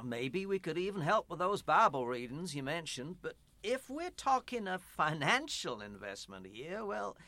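A man's voice: a little high, bright, forceful tone, no room sound. A man acting in a radio play as a kindly but evasive church pastor, stammering and placating in naturalistic dialogue. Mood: hesitant, apologetic.

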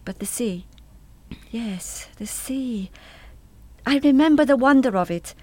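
Actress as a wistful, halting German woman, close and dry. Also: fairly high pitch, animated, a little mellow, no room sound. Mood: dreamy, nostalgic. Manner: reflective, halting, trailing into reverie. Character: wistful, hesitant German woman visiting the French seaside. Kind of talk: radio play acting.

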